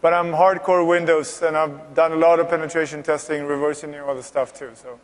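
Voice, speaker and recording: A man in his forties with a fairly high voice, chatting and bantering in front of a conference crowd. He jokes easily and keeps things light between technical points. The long echo of a large hall surrounds him. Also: fairly even intonation, fast talker. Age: 40s. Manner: bantering, jokey, laughs often. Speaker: security presenter. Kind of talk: joking conference co-presentation.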